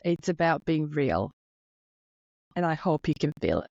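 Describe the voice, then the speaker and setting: Finnish-accented woman in her thirties, lowish voice, sincere and a little nervous solo podcast host, dry muffled recording. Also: very animated, a little slow, creaky, a little mellow, dry recording. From Finland. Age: 30s. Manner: earnest, openly nervous, heartfelt.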